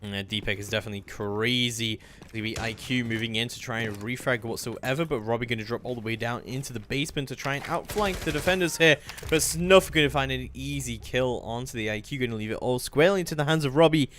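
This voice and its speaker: Male esports play-by-play caster, mid pitch, excitable, slight background noise and clicking. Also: fast. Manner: excitable, building to shouts at big plays. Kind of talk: live video game commentary.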